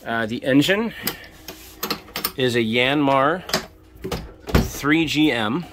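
A man in his fifties hosting a casual walkthrough tour of a sailboat, talking in a relaxed, enthusiastic way with lots of fillers, recorded on a handheld camera inside a small cabin. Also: medium pitch, slow, noisy background. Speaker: boat tour host. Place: small boat cabin, indoors. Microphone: handheld camera mic at arm's length.

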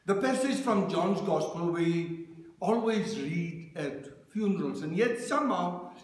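A male minister preaches from a lectern in a measured, deliberate cadence. His voice sits fairly high and moves slowly. The church around him rings with a strong echo. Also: animated. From South Africa. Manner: slow, measured reading cadence. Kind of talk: sermon with scripture reading. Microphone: lectern microphone, far camera. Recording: very echoey church room.

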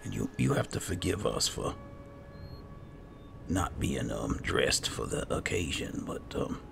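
A man doing roleplay commentary over a video game, halting and musing to himself as he plays. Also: very deep voice, lively intonation, vocal fry, background noise. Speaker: gamer narrating in character as he plays. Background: game music.

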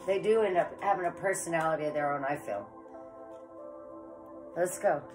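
A woman's voice: low-pitched, moderate pace, music in the background. Chatty woman tarot reader with a head cold. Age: 40s. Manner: chatty, rambling, says "guys" often. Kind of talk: casual tarot reading to camera. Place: living room with electric fireplace, indoors.

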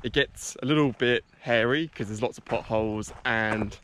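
A man's voice: mid-range voice, normal speed, fairly bright tone. Chatty Australian man on a hiking vlog. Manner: chatty and upbeat, joking asides. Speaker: vlogger. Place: outdoors on a bush trail and beach. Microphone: action camera held at arm's length.

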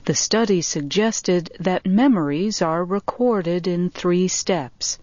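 A woman reading scripted narration for English learners, enunciating in a careful, measured way. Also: fairly low pitch, darkish tone, no room sound. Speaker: reader of English learning material. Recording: dull, lo-fi compressed audio.

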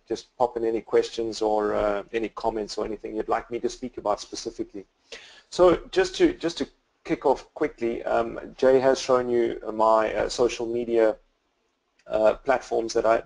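Gravelly South African man, flat delivery. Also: mid-range voice, low-quality recording. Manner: hesitant, many fillers and restarts. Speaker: guest photographer. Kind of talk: webinar presentation. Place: home office.

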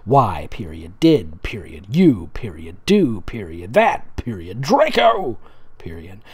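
Man reading as an indignant teenage witch, very animated. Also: fairly low pitch, takes it slowly, voice pushed down, heavily acted. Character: indignant, self-assured teenage witch heroine.

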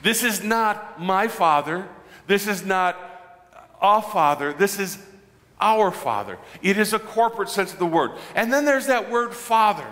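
Animated pastor in a reverberant church. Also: male voice, high voice, unhurried. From Midwestern United States. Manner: animated, gestures broadly, jokes with the congregation. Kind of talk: conversational sermon. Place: large church sanctuary.

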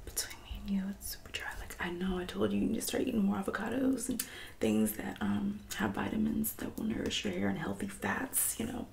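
A woman in her twenties doing an ASMR roleplay as a catty hairdresser friend, soft-spoken and often whispering, with condescending, passive-aggressive delivery. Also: medium pitch, animated, vocal fry. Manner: condescending, passive-aggressive, drawn-out backhanded remarks.

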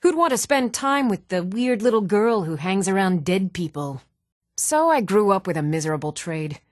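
An actress voicing earnest, even-toned townswomen in a fantasy video game, speaking in a measured, clearly enunciated way. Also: female voice, medium pitch, no room sound, clean studio sound, natural voice. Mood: bitter, resigned. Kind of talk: scripted video game voice acting.

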